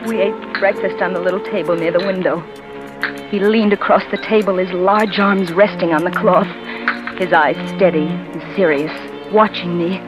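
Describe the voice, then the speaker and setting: An actress playing an uneasy young wife. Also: female voice, on the low side, fairly even intonation, moderate pace, close to an everyday voice, low-quality recording. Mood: suspicious. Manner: hushed, uneasy first-person narration. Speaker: lead actress and narrator. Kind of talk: 1940s radio drama acting. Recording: 1940s radio broadcast with music.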